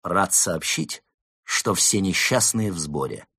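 A man voicing a courteous, solemn fox in a storybook, speaking in a polite and measured manner. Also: low voice, lively intonation, pushed and bright, breathy edge, no room sound, clean studio sound, exaggerated voice. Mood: solemn, pleased. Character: calm, courteous, solemn male fox in a coat.

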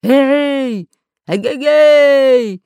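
Lady doing a big bossy pirate captain for a kids book, growly and loud, grumbles a lot. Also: takes it slowly, gravelly, no room sound, studio quality, heavily acted. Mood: desperate shouting. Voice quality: growling, booming. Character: fat red-bearded pirate captain, bossy, grumpy, male. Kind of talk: children's storybook character acting.

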